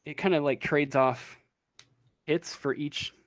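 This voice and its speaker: Halting man running the game, dry sound. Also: a little high, steady tone, no room sound. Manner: loose, halting narration full of "uh" and "like". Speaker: game master. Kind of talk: narrating a tabletop game over voice chat.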